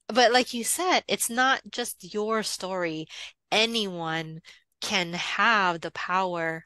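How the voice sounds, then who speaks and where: A woman's voice: mid-range voice, sharp tone, dry recording. A woman hosting a podcast who chats casually and affirms her guest with enthusiasm. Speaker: podcast host. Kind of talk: casual interview chat. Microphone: podcast mic.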